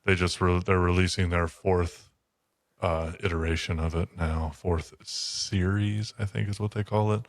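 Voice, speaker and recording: A man's voice: deep voice, flat delivery, a little slow, no echo. A man chatting casually as a podcast co-host in a blunt, matter-of-fact way. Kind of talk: casual chat.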